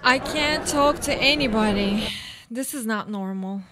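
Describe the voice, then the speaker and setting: A high female voice carries an actress's portrayal of an anguished woman, obsessive and haunted. The delivery is theatrical and inward-looking, a brooding monologue from a live radio play. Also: a little slow, very noisy, close to an everyday voice. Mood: bleak, obsessive.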